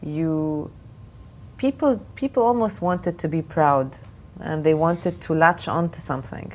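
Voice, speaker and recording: A woman's voice: low voice, unhurried, a little mellow. A woman originally from Lebanon, answering interview questions as an art fair director, with thoughtful answers full of restarts and fillers. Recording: faint background noise.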